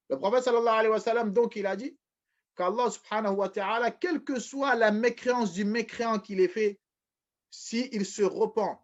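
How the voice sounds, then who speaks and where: A man giving a religious lecture, repeating phrases, often restarting, and addressing his listeners as brothers and sisters. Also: high-pitched. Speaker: preacher. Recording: dull lo-fi recording.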